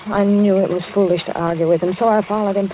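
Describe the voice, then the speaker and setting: An actress playing a frightened young waitress in an old-time radio suspense drama, speaking tensely and haltingly with dramatic narration. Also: female voice, mid-range voice, fairly even intonation, narrow, tinny audio, slight background noise, lightly acted. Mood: haunted recollection. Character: frightened young waitress held by a killer, recounting it. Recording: thin band-limited old radio recording, music behind.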